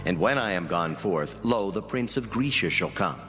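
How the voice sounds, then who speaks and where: Solemn angelic messenger played by a man, low voice, measured prophetic declamation, clear music behind. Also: lively intonation, fairly bright tone. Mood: grave, prophetic. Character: solemn heavenly male messenger delivering prophecy. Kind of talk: dramatized scripture reading. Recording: long hall reverb under clear music.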